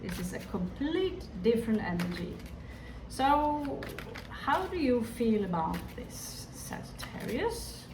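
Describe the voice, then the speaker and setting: Slow echoey tarot-reading woman. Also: fairly high pitch, very animated. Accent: Hindi-influenced. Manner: musing, hesitant, talks to the viewer directly. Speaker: tarot reader. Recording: echoey room, distant mic, background noise.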